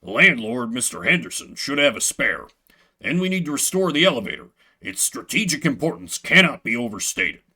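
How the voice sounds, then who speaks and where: A man voicing a solemn, grandiose janitor from a video game, speaking formally and gravely as if chores were military duty. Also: medium pitch, very animated, a little fast, pushed and bright, exaggerated voice. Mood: solemn, commanding. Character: solemn, grandiose adult male janitor devoted to maintenance.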